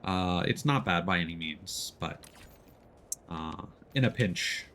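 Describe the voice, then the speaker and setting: A man doing casual live gaming commentary and chatting with viewers, excitable and quick to exclaim when surprised. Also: low voice, slight background noise. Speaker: video game streamer.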